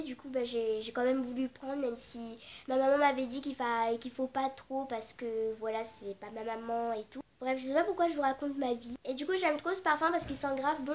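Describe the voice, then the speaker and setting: A young teenage girl from with a cold, chatting casually and rambling about her beauty products, apologetic and full of fillers. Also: sits high. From Réunion. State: has a cold, stuffy. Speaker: beauty vlogger. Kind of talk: casual beauty vlog chat.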